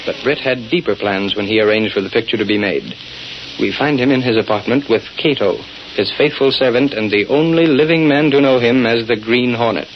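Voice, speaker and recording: A man's voice: medium pitch, brisk, close to an everyday voice. A man acting as the narrator of a vintage radio crime serial, telling the story in a scripted announcer style. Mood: dramatic, measured. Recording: muffled, noisy old radio recording.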